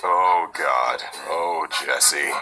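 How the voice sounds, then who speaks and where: A man's voice: extremely low pitch, extremely slow, heavily acted. A man voicing a wry, awkward, pun-loving skeleton slacker in a cartoon comic dub, hesitant and sardonic. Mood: embarrassed. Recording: music over played-back video.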